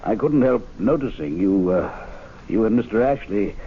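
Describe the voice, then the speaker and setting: An actor playing a courteous, quietly probing gentleman in a radio drama, polite and deliberate. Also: lowish voice, animated, slightly rough. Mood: puzzled, insistent. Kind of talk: old-time radio drama acting. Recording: dull hissy vintage radio recording.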